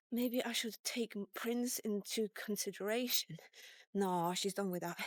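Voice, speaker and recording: A woman in her fifties telling a personal story in a casual, rambling vlog style, halting and informal as she thinks aloud. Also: mid-range voice, no echo. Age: 50s. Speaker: vlogger. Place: walk-and-talk recording.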